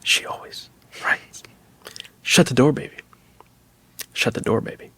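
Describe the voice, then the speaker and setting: A man's voice: measured pace, fairly bright tone, in a whisper, lightly acted. Man as an earnest, theatrical lyric reciter. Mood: mock earnest. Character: earnest, overdramatic actor reciting heartbroken pop lyrics. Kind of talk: mock dramatic reading.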